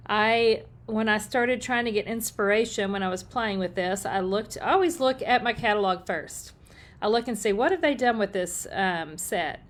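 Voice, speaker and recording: A middle-aged woman, slightly hoarse, chatting upbeat through a casual live crafting demo and greeting viewers by name. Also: medium pitch. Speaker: paper-crafting demonstrator.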